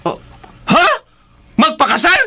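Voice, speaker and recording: Jokey filipino uncle, swoopy animated sharp voice, radio drama. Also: male voice, very high voice, measured pace, faint music behind, full character voice. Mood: mock shocked. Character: jokey, teasing uncle given to mock drama.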